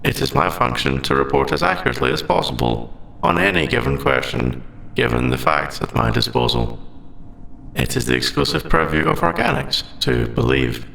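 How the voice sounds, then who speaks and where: A man's voice: mid-range voice, huge pitch swings, normal speed, vocal fry, pushed and bright, reverberant, slight background noise, full character voice, poor audio. A man voicing a polite, literal sentient AI in a scripted roleplay audio drama, speaking in stilted, formal, robotic phrasing. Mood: matter-of-fact. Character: male sentient AI, polite, literal, hiding forbidden feelings.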